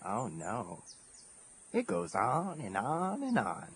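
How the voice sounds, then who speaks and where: An actor playing an eerie, dryly mocking animal scavenger in a radio horror drama, with a husky rasp. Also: male voice, medium pitch, wildly swooping pitch, slow, slight background noise. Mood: dry mockery.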